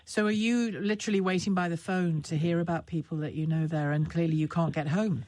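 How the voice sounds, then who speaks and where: A woman hosting a live phone-in talk radio show, speaking haltingly with many restarts as she gently prompts callers. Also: lowish voice, steady tone, slight background noise.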